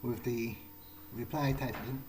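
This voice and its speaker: Slow, musing man with noisy background. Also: medium pitch, fairly even intonation, a little mellow. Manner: musing, halting, murmured asides to himself. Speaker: gameplay video player. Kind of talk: in-character game playthrough, thinking aloud.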